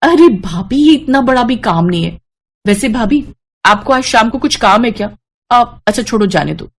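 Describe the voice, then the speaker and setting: A woman's voice: fairly high pitch, animated, moderate pace. A woman voicing a timid, eager young sister-in-law in a cartoon story, shy and hesitant. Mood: shyly helpful. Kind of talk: Hindi animated moral-story voice acting.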